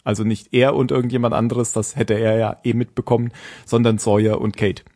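A man's voice: medium pitch, level tone, a little fast, warm tone. A man casually recapping a TV episode on a podcast, rambling and correcting himself as he goes. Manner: rambling, self-correcting, with frequent fillers and laughs. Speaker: podcast co-host.

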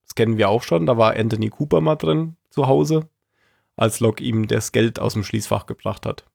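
A man with a cold co-hosting a casual series recap, chuckling often and pausing on fillers. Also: mid-range voice, vocal fry, darkish tone, dry recording, clean studio sound. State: has a cold. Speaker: podcast co-host.

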